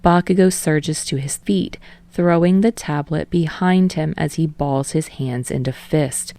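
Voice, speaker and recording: A woman's voice: low voice, unhurried, dark tone, no echo. A woman reading a fiction story aloud as narrator, in a scripted narration style. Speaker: audiobook narrator.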